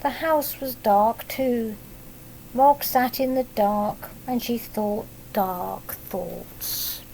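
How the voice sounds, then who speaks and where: British female storyteller, higher-pitched, unhurried, teacherly, explaining things simply for small children; some background noise. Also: mellow, low-quality recording. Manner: simple child-directed talk with tag questions. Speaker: primary school teacher reading a picture book aloud.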